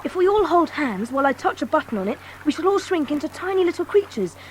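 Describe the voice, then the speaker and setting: A woman's voice: high voice, very animated, fast talker, a little hiss or hum. A woman playing a brave, earnest schoolboy with an urgent manner in an audiobook drama. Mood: wary.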